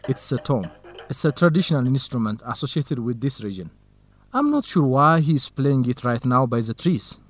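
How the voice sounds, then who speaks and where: Measured Ethiopian man, courteous researcher. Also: medium pitch, big pitch swings, soft background music. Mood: informative, earnest. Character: Khaled, organized Ethiopian male researcher, dryly grumbling but courteous. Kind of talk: educational radio drama acting.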